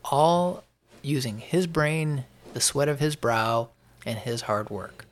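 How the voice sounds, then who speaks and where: An American man giving an unscripted opinion monologue as a podcast host, speaking emphatically and haltingly, often repeating phrases for effect. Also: medium pitch, lively intonation.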